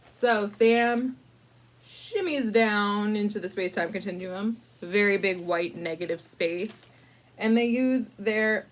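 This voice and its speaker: A woman hosting a casual storytime, chatty and informal, with playful, dramatic emphasis and drawn-out words as she tells a picture-book story. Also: mid-range voice. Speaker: librarian storytime host.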